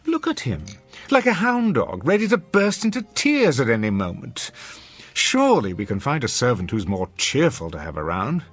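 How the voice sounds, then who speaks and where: A man's voice: medium pitch, wildly swooping pitch, normal speed, a bit bright, soft background music. A man playing a domineering, sarcastic wealthy husband in a radio drama, speaking with haughty, formal diction. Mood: disdainful. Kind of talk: classic radio drama acting.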